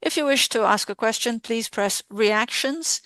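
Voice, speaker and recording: Female conference interpreter, smooth clear voice, dry close sound, formal financial delivery in measured segments. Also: a little high, fairly bright tone, no echo. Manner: measured, halting phrasing in short segments. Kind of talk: formal earnings call presentation, spoken live.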